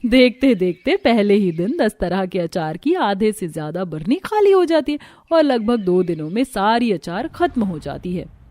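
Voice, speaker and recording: A woman's voice: medium pitch, very animated, moderate pace, warm tone, dry recording. A woman storyteller giving scripted narration for a family cartoon. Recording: music bed under the voice.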